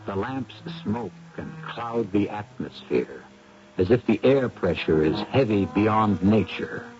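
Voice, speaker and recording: A man hosting and narrating a radio mystery in a brooding, deliberate, dramatic scripted style. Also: lowish voice, lively intonation. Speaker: host and narrator. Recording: muffled old radio recording. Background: music.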